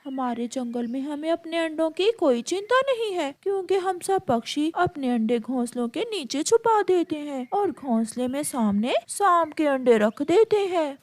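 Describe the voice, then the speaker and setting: Woman as a high-voiced, cunning myna bird. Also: big pitch swings, normal speed, few pauses, a little mellow, no echo. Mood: matter-of-fact. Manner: self-assured. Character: clever, proud female myna bird, calm and cunning. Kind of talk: children's cartoon dialogue.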